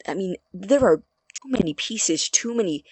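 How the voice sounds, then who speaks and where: A nervous young male student scientist played by an actor in an audio drama, husky and breathy in a very dry recording. He stammers and defends himself earnestly, obsessed with his work. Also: medium pitch, animated, unhurried, darkish tone, no echo, poor audio. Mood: nervous, confessing.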